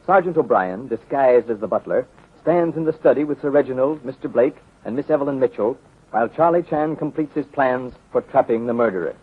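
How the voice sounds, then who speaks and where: A man's voice: medium pitch, a little fast, breathy edge. A man narrating as a 1930s radio announcer, reading a script in a dramatic, grandiose way. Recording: muffled, band-limited 1930s radio recording.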